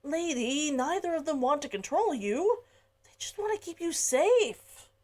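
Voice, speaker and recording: A woman reading a gentle, persuasive young man. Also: very high voice, measured pace, voice pushed up. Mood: insistent. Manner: calm, reasoning delivery.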